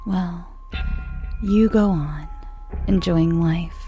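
A woman voicing a vengeful murdered ghost who taunts their killer in a soft, whispery, mocking delivery. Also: fairly low pitch, drawn-out words, soft background music. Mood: taunting. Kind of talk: horror monologue reading.

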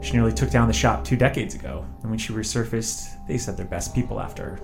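A man's voice: fairly low pitch, quick pace, creaky, over music. A man playing a wary, grieving former test subject with psychic visions in an audio drama, speaking in an earnest, defensive way. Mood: guarded, confiding.

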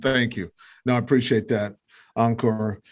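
An American man hosting a casual interview, affirming his guest and feeling his way haltingly into questions. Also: medium pitch, steady tone.

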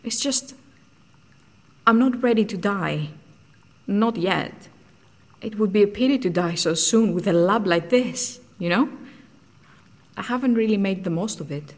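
An actress playing a guarded, earnest scientist in an audio drama, speaking precisely and dryly. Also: female voice, medium pitch, lively intonation, a little slow, pauses often. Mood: earnest, resigned. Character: guarded female scientist secretly making truth serum.

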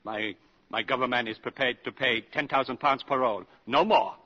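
An actor playing a stiff, nervous, pompous German embassy attaché in a radio drama, formal and clipped. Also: male voice, medium pitch, moderate pace, slightly rough, close to an everyday voice. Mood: stiff, flustered. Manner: clipped, formal, anxious, subservient to superiors. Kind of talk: 1950s radio drama acting. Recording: muffled old radio broadcast recording.

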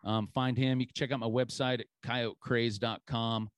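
A man's voice: mid-range voice, flat delivery, normal speed, clear, resonant tone, no room sound, clean studio sound. A man hosting a hunting podcast, chatting casually and easygoing, with lots of "you know" fillers. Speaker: podcast host.

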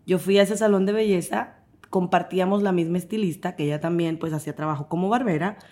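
Lively Dominican woman telling stories. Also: fairly low pitch, fast. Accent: Dominican Spanish. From Dominican Republic. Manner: animated, laughs often, acts out quoted voices. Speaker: guest. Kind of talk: casual interview storytelling.

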